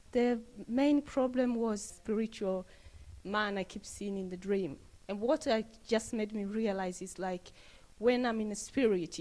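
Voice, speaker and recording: A woman, giving an earnest, halting testimony into a handheld mic indoors. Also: mid-range voice, a little mellow, low-quality recording. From Tanzania. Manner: earnest, halting with fillers and restarts. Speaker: church member giving testimony. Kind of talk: on-camera testimony interview. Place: indoors, plain room. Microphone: handheld interview mic held up to her.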